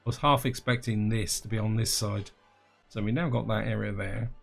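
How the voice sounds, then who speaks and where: A man with a slightly croaky throat giving casual gameplay commentary, thinking aloud and talking to his viewers. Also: lowish voice, steady tone, normal speed, soft background music. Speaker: gaming channel host.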